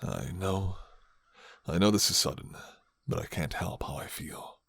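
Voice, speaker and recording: Tender romantic werewolf, acted by a man: extremely low, raspy and breathy, soft hesitant delivery, ASMR roleplay. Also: very animated, full character voice. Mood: tender, earnest. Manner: slow, soft, hesitant, sighing. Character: handsome male werewolf, tender, grateful, smitten.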